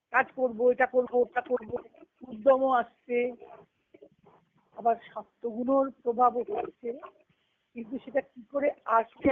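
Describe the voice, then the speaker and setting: A woman leading a devotional teaching on a conference call, reflective and explaining step by step, often asking a question and then answering it. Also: fairly high pitch. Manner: recites scripture terms in a measured cadence. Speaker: spiritual discourse leader. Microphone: phone on a conference call. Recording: tinny conference-call phone line.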